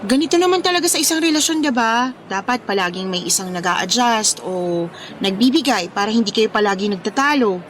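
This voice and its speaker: A woman's voice: fairly high pitch, brisk, slight background noise, close to an everyday voice. An actress playing a defensive, easily led young woman in love, in Filipino radio drama style with a Filipino accent. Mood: defensive, pleading.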